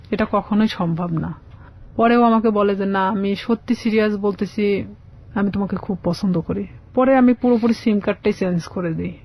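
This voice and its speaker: A woman's voice: medium pitch, darkish tone. A woman telling her personal story conversationally as a radio guest, often quoting both sides of conversations. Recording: slightly dull lo-fi radio audio.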